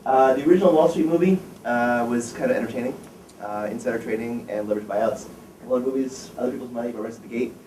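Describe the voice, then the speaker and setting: A man's voice: medium pitch, quick pace, mellow, far from the mic. A man in his fifties giving a career lecture to students, blunt and opinionated, quizzing the audience. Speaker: wealth management firm president giving a career talk. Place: lecture room. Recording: echoey room, recorded from afar, faint noise.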